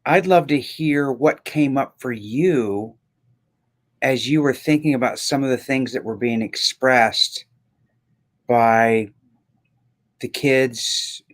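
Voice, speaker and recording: Earnest, mid-pitched male podcast co-host. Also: takes it slowly, pauses often. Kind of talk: casual, reflective conversation.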